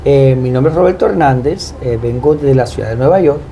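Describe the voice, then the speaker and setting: A man with a Puerto Rican Spanish accent, a pastor speaking as a guest in a devout, deliberate testimony style. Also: medium pitch, vocal fry, warm tone, slight background noise. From Puerto Rico. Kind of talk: personal testimony and prayer.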